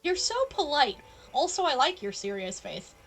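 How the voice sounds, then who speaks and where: High-voiced, playful woman streaming. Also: very animated, normal speed, fairly bright tone, faint music behind. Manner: playful, teasing. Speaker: live streamer. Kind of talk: casual gameplay commentary.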